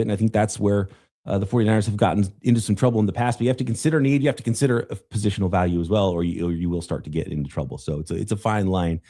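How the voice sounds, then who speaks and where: A man hosting a live podcast about the draft, slightly raspy as he fights off a cough, talking casually while he runs a draft simulator. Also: low voice, warm tone. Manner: casual, thinking aloud while running a draft sim. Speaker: podcast host.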